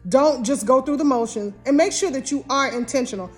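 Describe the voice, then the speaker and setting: A woman's voice: sits high, fairly quick, soft background music. An American woman in her fifties co-hosting a casual relationship advice talk, speaking earnestly and directly to the viewer. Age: 50s. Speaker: co-host giving marriage advice.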